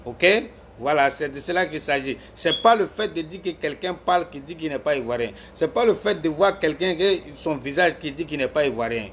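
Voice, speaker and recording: Man from Ivory Coast, live-stream commentator, a bit high-pitched, combative and repetitive, faint noise behind. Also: expressive, fairly quick, hardly any pauses. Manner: argumentative, scolds viewers by name, repeats himself. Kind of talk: heated political commentary.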